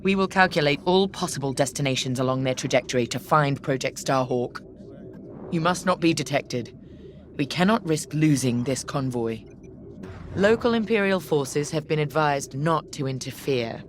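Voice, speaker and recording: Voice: medium pitch, lively intonation, normal speed, slight background noise, close to an everyday voice. An actor playing a stern, controlled Imperial commander in a space game, giving formal mission briefings in a clipped, authoritative way. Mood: cold, commanding.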